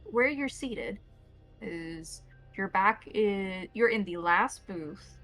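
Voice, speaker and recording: A woman narrating a tabletop roleplaying game as game master, describing scenes in deliberate detail. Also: mid-range voice, lively intonation, a bit bright, faint music behind.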